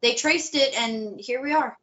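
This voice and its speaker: A woman reading a bright, worried best friend. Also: a little high, measured pace. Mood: earnest. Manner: earnest, insistent. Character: protective young woman, worried and earnest. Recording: muffled lo-fi recording.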